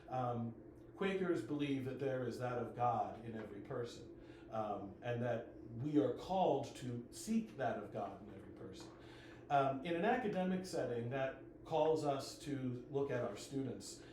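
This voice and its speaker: Man presenting in an echoey room. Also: medium pitch. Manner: relaxed, with frequent ums. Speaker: enrollment manager. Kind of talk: school open house presentation. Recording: very echoey room, faint noise.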